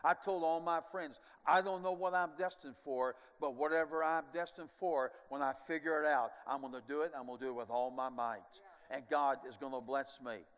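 Older pastor guy preaching on a big church stage, kinda high voice, booming hall echo, animated then goes quiet. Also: moderate pace. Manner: animated preaching with sweeping gestures, drops to quiet asides. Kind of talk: sermon. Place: large church stage, indoors. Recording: long church hall reverb.

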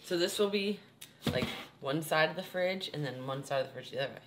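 A young woman vlogging casually. She thinks out loud and fills her talk with "like". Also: deep voice, fairly quick, a little hiss or hum. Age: young adult. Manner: chatty, thinks aloud with many likes. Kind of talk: casual chat. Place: small wooden boat cabin, indoors. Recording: music playing under the voice.

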